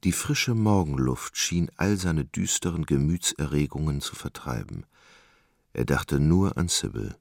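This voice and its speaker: Casting: male literary narrator, gravelly, dry close studio sound, dramatic measured delivery. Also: very deep voice, lively intonation, a little mellow, no echo.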